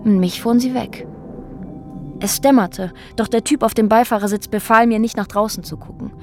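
A woman's voice: on the high side, normal speed, a little mellow, soft background music, natural voice. An actress as a sober woman recounting an ordeal. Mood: grave, restrained. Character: woman quietly recounting a brutal police ordeal, former activist. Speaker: radio drama actor. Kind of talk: German radio drama dialogue and narration.

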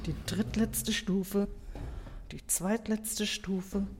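A woman's voice: medium pitch, fairly even intonation, very slow. A woman speaking her observations aloud in short phrases as she walks. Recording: loud background noise, clicks.

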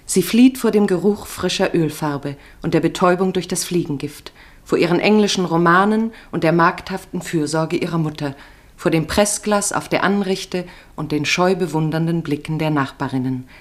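A woman giving measured, literary narration for a radio drama. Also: lowish voice. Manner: measured, descriptive.

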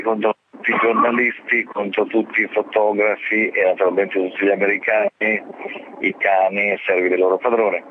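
Low male reporter on a phone-like line. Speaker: journalist reporting on a war zone. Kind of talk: spontaneous spoken radio report. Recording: narrow phone-like line, background noise.

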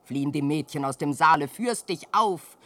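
A woman's voice: lively intonation, moderate pace. A scolding old widowed mother. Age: older. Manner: scolding and lamenting, swings from shrill cries to hushed sighs. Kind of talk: classic radio play acting in verse.

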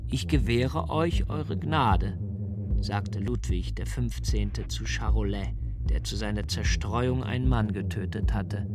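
A man playing a provocative libertine philosopher in a deliberate, declamatory radio reading. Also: medium pitch. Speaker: reader of a philosophical pamphlet. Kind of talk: dramatic radio reading of a polemical text. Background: music.

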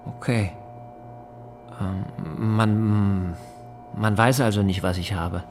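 A man's voice: lowish voice, fairly even intonation, loud music behind the voice. An actor playing a bewildered, hesitant male hospital patient of around forty in an understated radio drama. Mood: uneasy, doubtful. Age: 40s. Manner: hesitant, halting, quietly doubtful.

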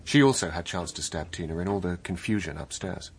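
An actor with a British accent playing an earnest, guilt-driven doctor turned investigator in a radio drama, speaking urgently and articulately. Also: male voice, deep voice, animated, a little fast. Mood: urgent explaining. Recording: faint background noise.

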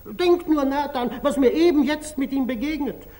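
An actor playing a blunt, excitable, eccentric dervish in a 1940s German radio drama, talking with exasperation. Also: male voice, very high voice, normal speed. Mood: agitated, aggrieved.

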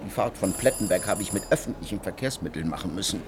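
An actor in a radio play as a proud, prickly intellectual man, sardonic and unrepentant, with a husky voice. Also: sits low, lively intonation, fast talker, background noise. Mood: affronted.

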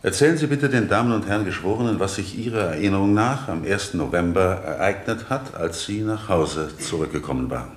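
An actor playing a composed defence lawyer in a German radio drama, speaking formally and with measured patience. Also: male voice, deep voice, expressive, echoey room. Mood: calm questioning.

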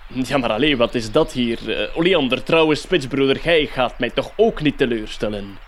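An actor playing a pompous, boastful would-be folk-hero outlaw leader in a comic radio play, with a Flemish accent and theatrical, mock-archaic speech. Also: male voice, medium pitch, animated, moderate pace, close to an everyday voice. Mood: bossy. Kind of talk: comedic radio play acting.

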